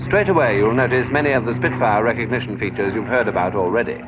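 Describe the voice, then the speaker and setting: A man reading scripted narration for a 1940s military training film in a measured, instructive way. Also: lowish voice. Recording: dull noisy 1940s film soundtrack.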